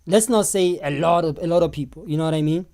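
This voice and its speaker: Brief: South African man, hoarse and losing his voice, streamer answering chat, mellow tone. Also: on the high side, fast talker. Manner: animated, talks back to viewers' comments. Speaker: live stream host. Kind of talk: live stream commentary reading chat.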